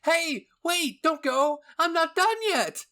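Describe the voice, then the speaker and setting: Man putting on a high, whiny, excitable soldier. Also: slow, full character voice. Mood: whiny pleading. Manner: whining, pleading.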